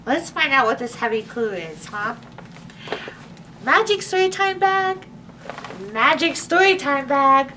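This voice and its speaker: Animated, bright-voiced woman hosting children's storytime. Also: high-pitched, measured pace, pauses often. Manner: animated, exclamatory, talking to young children. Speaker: library storytime host. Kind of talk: children's storytime presenting and read-aloud. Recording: distant microphone with faint background noise.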